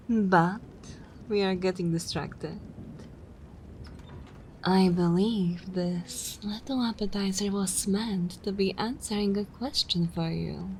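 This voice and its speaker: A woman playing a haughty, regal older vampire mistress in an ASMR roleplay, speaking in an imperious, measured way. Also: medium pitch, slight background noise, low-quality recording. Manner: imperious, measured, breathy near-whispers.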